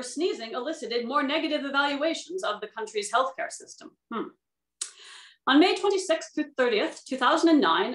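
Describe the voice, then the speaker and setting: A woman's voice: high-pitched, fairly bright tone, slight background noise. A woman speaking formally as a reader of research papers, on roomy video-call audio. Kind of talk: formal reading aloud and panel questions. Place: indoor room on a video call, using a tablet.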